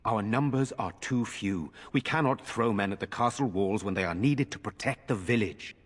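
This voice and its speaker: An actor in a fantasy game playing an earnest, weary nobleman defending his village, speaking formally and gravely. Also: lowish voice, normal speed, in a large hall, lightly acted.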